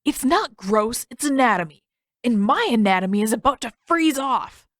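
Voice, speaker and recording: A woman's voice: a little high, animated, moderate pace, fairly bright tone, no echo, voice pushed up. A woman reader plays a whiny teenage boy in an adventure audiobook. He is exasperated and sarcastic, stressing his complaints indignantly. The sound is close and dry. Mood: indignant whining.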